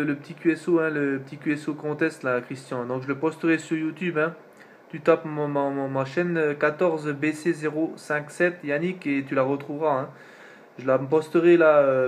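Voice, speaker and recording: A man talks casually as a radio operator making a contact. His voice sits a little high, and he speaks colloquially, circling back on phrases with frequent tags. There is faint noise behind him. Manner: colloquial, repetitive with frequent tag words.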